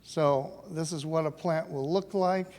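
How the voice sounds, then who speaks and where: A man's voice: on the high side, unhurried, a little mellow. Older man presenting in a reverberant hall. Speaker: company founder presenting at a conference. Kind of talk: conference business presentation. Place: large conference hall at a podium. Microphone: podium microphone. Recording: reverberant conference hall sound.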